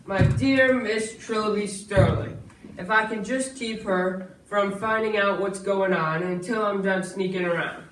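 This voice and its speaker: A man's voice: high-pitched, a bit bright. A man playing a scheming melodrama villain, far off. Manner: sly, gloating asides to the audience. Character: scheming, greedy male swindler villain in melodrama. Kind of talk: theatrical stage melodrama acting. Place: indoor small school stage before an audience. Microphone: far camera. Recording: distant echoey school stage, faint noise.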